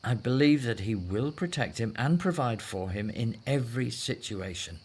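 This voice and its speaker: A man's voice: medium pitch, lively intonation, normal speed, darkish tone, slight background noise, lightly acted. An actor in a scripted audio drama playing a devout Hebrew husband and father, earnest and persuasive.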